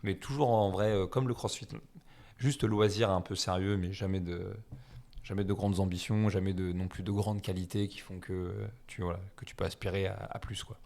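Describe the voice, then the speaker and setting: Low, gravelly-voiced Frenchman rambling casually. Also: steady tone, moderate pace, darkish tone. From France. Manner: rambling, self-deprecating, many fillers. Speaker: guest. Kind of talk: casual conversational interview.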